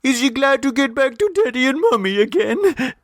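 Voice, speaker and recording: A man voicing a fussy, doting mother in a high crooning falsetto with gushing baby talk. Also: expressive, normal speed, dry recording, studio quality. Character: fussy doting middle-class mother, shrill.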